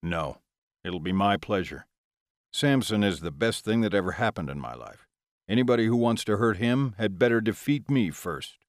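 A man's voice: on the low side, big pitch swings, moderate pace, no echo, studio quality. A man voicing a nonchalant, unflappable male vampire in an audiobook, curt and threatening. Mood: resolute.

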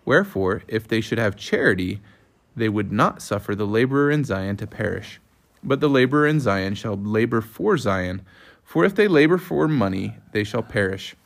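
A man's voice: fairly low pitch, animated, normal speed, vocal fry. A man reading scripture aloud as part of a family reading.

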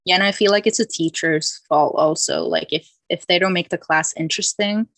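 Young woman, from Finland, podcast guest, lower pitch, dry recording, talks in loose self-deprecating bursts. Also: a little fast, a bit bright, no room sound. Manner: chatty, self-deprecating, many restarts and fillers. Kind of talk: casual chat.

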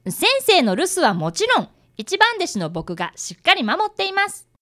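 A woman's voice: very high voice, huge pitch swings, moderate pace, a bit bright, exaggerated voice. A woman playing a polite, earnest fifth-grade boy who is an apprentice detective and narrates a radio drama.

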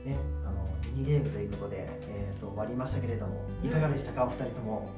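A woman's voice: very deep voice, very fast. A woman hosting a chatty show wrap-up in a polite, self-effacing way. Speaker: host of a voice-acting circle show. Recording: roomy echo, distant mic, music behind.

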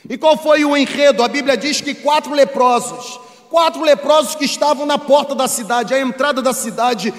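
High-pitched Brazilian male preacher in a hall. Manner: emphatic, rhetorical, repeats phrases for effect. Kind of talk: sermon to a congregation. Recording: echoey hall with long reverb.

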